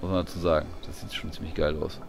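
A man's voice: sits low, fairly even intonation, fast, gravelly, a little hiss or hum. A man giving casual, colloquial and often grumbling commentary while playing a video game. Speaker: gamer doing a let's play.

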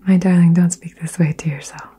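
A woman whispering soothing affirmations in an ASMR session, soft and gentle. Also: lowish voice, fairly even intonation, fairly quick, husky, faint music behind. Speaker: ASMR host.

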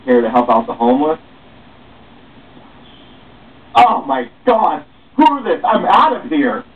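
A man's voice: fairly high pitch, big pitch swings, normal speed, voice pushed up. A man reading the part of a reluctant young novice panhandler in a story, doubtful and exasperated. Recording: muffled phone-like webcam, distant mic, faint hiss.

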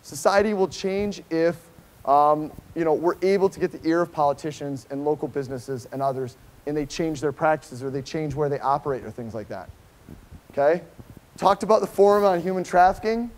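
A middle-aged man with an American accent and a slightly high voice gives a conference talk. He speaks informally and with emphasis, like a professor with a class, often tagging his points with a questioning check-in. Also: a little fast, darkish tone. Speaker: professor giving a conference keynote. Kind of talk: informal public lecture. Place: lecture hall, speaking to a seated audience.

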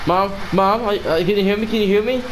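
A man's voice: high voice, fast talker, lightly acted. A male amateur performer playing a distraught, determined young son in a game roleplay film, recorded on a noisy home mic. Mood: worried, desperate.

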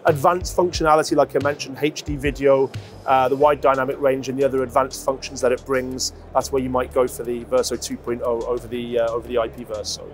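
A man in his forties explaining a product in a measured way, as a company representative interviewed on a lapel mic. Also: medium pitch, flat delivery, soft background music. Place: busy trade show exhibition hall.

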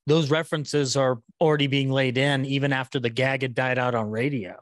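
A man in his thirties chatting casually as a podcast interviewer, halting and repeating words, on a close podcast mic. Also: mid-range voice, steady tone, dry recording. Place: small indoor room. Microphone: boom-arm podcast mic with headset.

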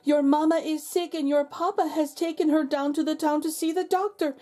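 A woman's voice: fairly even intonation, dark tone, lightly acted. A woman voicing an urgent, worried village señora in a storybook, speaking anxiously. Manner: high, hurried, urgent. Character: urgent village señora bearing worrying news.

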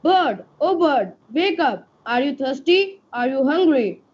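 Young princess, kind and eager, played by an amateur, very high voice, careful reading, dull video-call sound. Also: sing-song, measured pace. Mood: concerned. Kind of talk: amateur online radio skit, read from script.

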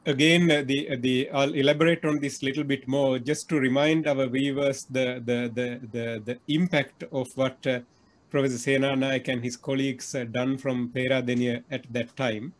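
A man's voice: mid-range voice, flat delivery, normal speed. A middle-aged man interviewing over a video call, earnest, with many fillers and restarts. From Sri Lanka. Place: indoor room.

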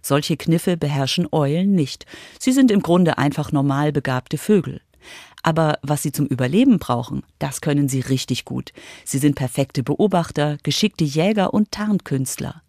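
A woman's voice: low voice, animated, moderate pace, a little mellow, dry recording, clean studio sound. A woman reading scripted narration as the reporter of a children's radio feature.

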